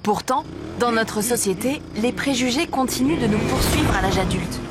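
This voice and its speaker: Woman playing a high-voiced, didactic cartoon presenter. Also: moderate pace, very noisy, natural voice. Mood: explanatory. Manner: didactic, encouraging. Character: friendly female cartoon presenter explaining things to children. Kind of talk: scripted educational cartoon narration.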